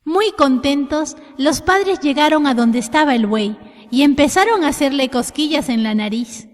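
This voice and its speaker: High-voiced woman telling a children's story. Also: animated, normal speed. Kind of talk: expressive scripted storytelling for children. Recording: long hall reverb.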